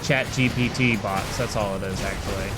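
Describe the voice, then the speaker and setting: Casual male game streamer over clear music. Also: on the low side, fairly even intonation, normal speed. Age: middle-aged. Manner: rambling, thinking aloud, humming little nonsense tunes. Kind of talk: casual live stream commentary. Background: loud game music.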